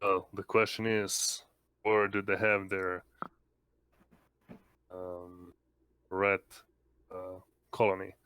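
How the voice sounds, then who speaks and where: A man's voice: low-pitched, monotone, takes it slowly, long pauses, sharp tone, clean tone, no room sound. A man playing a tabletop game, talking casually and searching hesitantly for his words.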